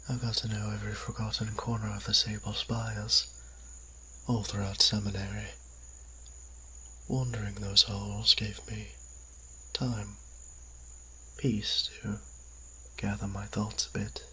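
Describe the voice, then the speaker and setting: A man playing a kindly, genteel vampire priest in an audio drama, speaking politely and courteously with pauses mid-phrase. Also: fairly low pitch, little pitch movement, pushed and bright, slight background noise, low-quality recording. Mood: wistful. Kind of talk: scripted audio roleplay drama.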